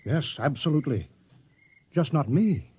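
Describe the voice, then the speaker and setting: Raspy, fussy small-town mayor. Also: male voice, a little high, sing-song, moderate pace, mellow, heavily acted. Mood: flustered. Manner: fussy, stammering, folksy. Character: flustered, eager-to-please small-town mayor turned general. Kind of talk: old-time radio drama acting. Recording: dull old radio recording.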